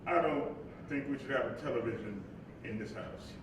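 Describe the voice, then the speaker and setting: An actor playing the spirit of Dr. King, an earnest, reflective preacher and father, in a live stage monologue with halting asides. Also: lightly acted. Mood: troubled. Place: live stage before an audience. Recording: echoey hall, distant mic, noisy rumble.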